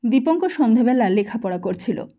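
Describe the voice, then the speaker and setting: A woman's voice: a little high, animated, fairly quick, highs cut off. A woman narrator reading a literary story as a scripted audiobook.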